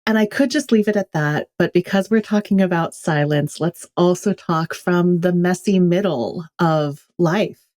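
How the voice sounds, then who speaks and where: A woman's voice: on the low side, measured pace, darkish tone. A woman from California speaking as an author guest in an interview, thoughtful and candid. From California, USA. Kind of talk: reflective interview talk.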